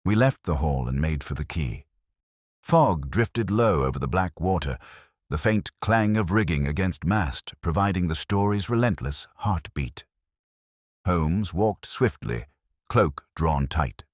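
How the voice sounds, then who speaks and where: A man narrating a scripted detective mystery audiobook in a dramatic, measured, literary way. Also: sits low, animated, dry recording, studio quality. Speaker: narrator, storyteller.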